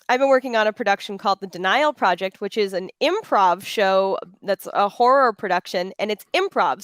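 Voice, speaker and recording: A woman hosts a relaxed panel conversation. She sounds affirming and encouraging, with an everyday mid-range pitch. The sound is dry, with very little room on it. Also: clear, resonant tone, no room sound. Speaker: panel moderator and podcast creator. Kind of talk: casual panel moderating.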